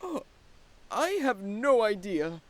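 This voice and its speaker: A woman's voice: mid-range voice, sing-song, slow, no room sound, rough recording. A woman playing an inquisitive, squeamish young adventurer in a fantasy audio drama. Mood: puzzled.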